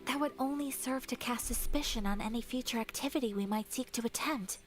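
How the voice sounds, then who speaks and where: A woman voicing a composed, courteous young noblewoman in a video game, speaking in a formal, measured way. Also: on the high side, soft background music, close to an everyday voice. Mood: calm.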